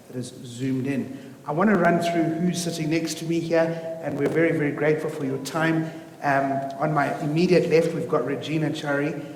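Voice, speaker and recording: A man moderating a live panel, speaking informally as he welcomes and introduces people. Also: on the high side. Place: indoor room, live event streamed online. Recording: echoey room with faint noise.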